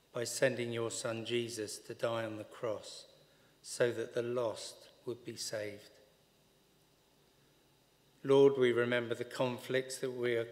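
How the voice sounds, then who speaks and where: Older man praying in a reverberant church. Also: medium pitch. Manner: earnest, measured, reads from notes. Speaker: intercessor leading church prayers. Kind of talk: read-aloud intercessory prayer. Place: large church interior, at a wooden lectern. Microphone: lectern microphone on a stand.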